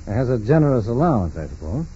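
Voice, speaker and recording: An actor playing a calm, probing FBI agent in a 1940s radio drama, questioning in a businesslike, matter-of-fact way. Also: male voice, mid-range voice, fast talker, natural voice. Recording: muffled, noisy 1940s radio recording.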